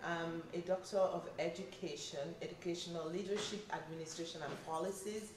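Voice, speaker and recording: Nigerian woman, middle aged, listing her points earnest, lowish voice, a roomy hall. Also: steady tone, brisk, recorded from a distance, background noise. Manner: earnest, lists points one by one. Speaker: interviewee at a community town hall. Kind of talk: answering interview questions. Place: recreation center, crowd nearby. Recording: roomy hall, voice from off-mic, crowd behind.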